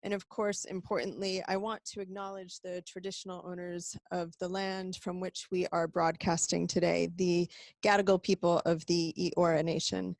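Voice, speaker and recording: A middle-aged American woman speaks from an office to a webinar audience of supporters. Her voice sits on the low side and is recorded dry and close. She talks in a conversational, earnest way, with fillers and restarts. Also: vocal fry, no room sound. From United States. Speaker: executive director giving a supporter briefing.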